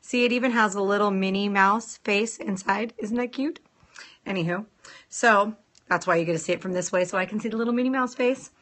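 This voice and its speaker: A woman hosting a casual live stream, chatting playfully with viewers and greeting them in a sing-song lilt, her voice a little rough from a sore throat. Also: mid-range voice, fairly quick, a bit bright. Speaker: nail salon owner hosting a live stream.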